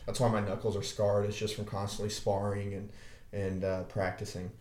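A young man from Arkansas with a deep voice, co-hosting a podcast and telling laid-back stories full of restarts and swearing. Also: monotone, moderate pace, a little mellow. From Arkansas, USA. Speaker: podcast co-host. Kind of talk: casual chat. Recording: echoey room.

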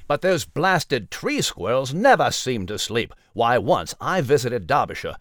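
A man voicing a genteel, fussy mouse gentleman in a podcast comedy, with a British accent and a prim, wordy manner. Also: mid-range voice, big pitch swings, a little slow, no echo, studio quality. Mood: indignant. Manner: prim, wordy, old-fashioned politeness. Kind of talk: scripted comic podcast banter.

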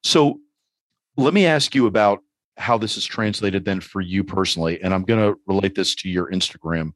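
A man's voice: low voice, normal speed, no echo. A middle-aged man hosting a podcast, talking casually in long, rambling, philosophical asides. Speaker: podcast host.

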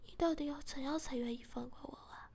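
A woman's voice: high voice, fairly even intonation, fast, creaky, darkish tone. A woman voicing a frightened but defiant young female captive in a whispered storybook reading. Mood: tense, pleading.

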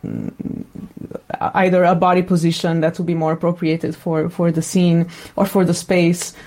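Woman, lowish voice, very mellow, thoughtful interview talk, searching phrasing. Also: flat delivery, moderate pace. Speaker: sound designer being interviewed.

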